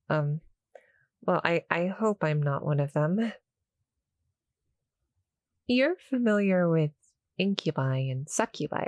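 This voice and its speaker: A woman voicing a small, shy, friendly cuddle-monster girl in an audio roleplay, halting and apologetic as she speaks to the listener. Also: fairly low pitch, no echo, clean studio sound. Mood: shy, explaining. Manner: nervous, halting, stammering, soft-spoken explaining.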